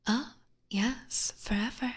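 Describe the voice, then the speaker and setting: A woman's voice: fairly high pitch, steady tone, slow pace. A woman voicing an obsessive, seductive vampire catgirl in an ASMR roleplay, whispering intimately and teasingly into a close binaural microphone with sweet menace. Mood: possessive, obsessed. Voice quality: breathy.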